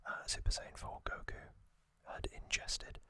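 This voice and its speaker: A man speaking entirely in a breathy, unvoiced whisper, telling a story in halting short phrases as an ASMR host. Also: sharp tone, no echo, clean studio sound.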